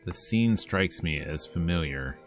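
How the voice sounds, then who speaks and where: A man's voice: sits low, animated, measured pace, soft background music. A man hosting a let's play, reading visual novel text aloud with playful, casual asides. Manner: playful, casual asides between read lines. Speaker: host of a gameplay video series.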